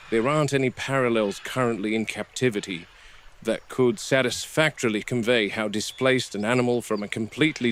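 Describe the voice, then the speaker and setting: A man playing a grave, observant game warden, narrating a scripted field log in a measured, dry, reflective way. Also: on the low side, slight background noise, close to an everyday voice. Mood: concerned, reflective.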